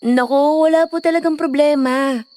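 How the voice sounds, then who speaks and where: High-voiced polite young woman played by an actress. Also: steady tone, normal speed, a little mellow, close to an everyday voice. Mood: polite, friendly. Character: polite young woman, embarrassed by her nanny. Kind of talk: Filipino audio horror drama acting.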